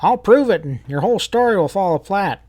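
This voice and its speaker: A man's voice: high voice, animated, normal speed, a little mellow, pitched above the performer's own voice. A man voicing a desperate, defensive jailed rancher in a western story, urgent and dogged. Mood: dogged, triumphant.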